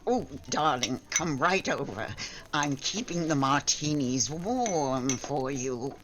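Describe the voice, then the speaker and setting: Over audible noise comes a sardonic sweetheart acted by a woman from a 1940s radio caper. She takes her time, teasing and exasperated, with arch wisecracks and big swoops of pitch. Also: animated, slow, exaggerated voice. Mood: coaxing.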